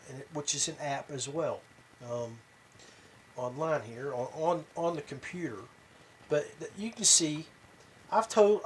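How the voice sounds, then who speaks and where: A man hosting a podcast, talking casually and haltingly to his viewers, rambling along with pauses and repeats. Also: on the high side, slight background noise. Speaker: podcast host. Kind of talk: casual rambling talk to viewers about tournament fishing.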